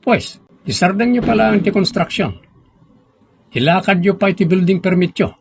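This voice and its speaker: An actor playing an arrogant, stern municipal engineer in a radio drama, curt and domineering. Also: male voice, high voice, normal speed, gravelly, a little hiss or hum. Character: arrogant, stern male municipal engineer abusing his authority.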